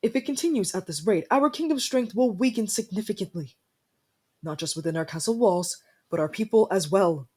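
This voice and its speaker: A man playing a haughty, easily flustered young winter prince in a fantasy ASMR roleplay, speaking formally and imperiously with a breathy voice. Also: high-pitched, lively intonation, brisk, mellow. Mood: stern, formal.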